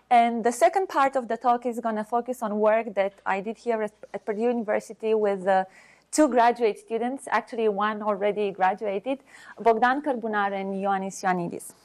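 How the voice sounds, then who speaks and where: A woman with a Romanian accent giving an unscripted academic seminar talk as a university researcher, halting as she searches for words. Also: a little high, a little mellow.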